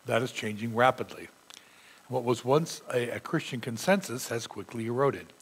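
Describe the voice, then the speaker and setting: A man's voice: medium pitch, normal speed, breathy edge. A middle-aged male pastor with a sore throat, preaching earnestly from a church pulpit. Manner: earnest preaching, reading scripture aloud with emphasis. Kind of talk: sermon. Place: church sanctuary.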